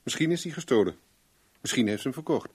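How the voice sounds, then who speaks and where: An actor playing a shrewd, self-assured private investigator in a Dutch radio play, probing in a blunt, wry, plain-spoken way. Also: male voice, medium pitch, lively intonation, fast, slightly rough. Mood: knowing, pressing.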